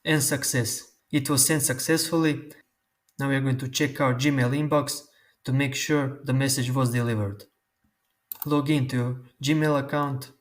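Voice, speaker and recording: Man explaining software steps. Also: medium pitch, steady tone. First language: Croatian. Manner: slow, step-by-step instructions. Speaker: tutorial host. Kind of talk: screen-recorded software tutorial.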